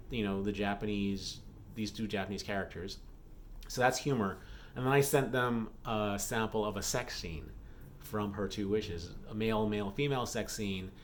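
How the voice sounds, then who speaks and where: A man's voice: fairly low pitch, a little hiss or hum. A man talking casually and unscripted as an author vlogging, thinking aloud with repeats and self-corrections. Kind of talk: casual unscripted vlog talk.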